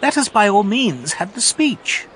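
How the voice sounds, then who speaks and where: A man's voice: high voice, very animated, measured pace, a bit bright, heavily acted. A man playing an eager young disciple in a dramatized audiobook dialogue, speaking formally and insistently, with theatrical delivery. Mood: eager, coaxing. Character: eager young male disciple coaxing his teacher.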